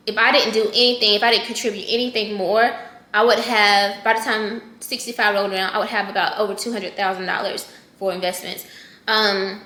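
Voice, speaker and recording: A woman in her thirties talks through a casual check-in with a bright, forceful voice. She chats loosely, corrects herself mid-thought and speaks straight to her viewers. The sound carries a roomy echo. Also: medium pitch. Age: 30s. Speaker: personal finance vlogger.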